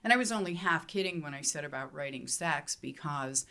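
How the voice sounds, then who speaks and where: A middle-aged woman romance author from Pennsylvania, answering panel questions in a measured, explanatory way with some restarts, speaking into a handheld mic in a meeting room. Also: low voice, animated, vocal fry, bright, forceful tone. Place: hotel meeting room, seated at table.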